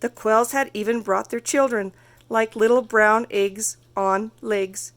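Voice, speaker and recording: A woman reading a children's story aloud as narrator, expressive and carefully phrased. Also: on the high side, a little slow. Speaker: storybook reader.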